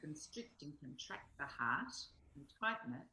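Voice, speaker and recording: Female discussion partner: low, bright voice, reflective and tentative, roomy echoing sound. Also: sing-song, measured pace, slight background noise.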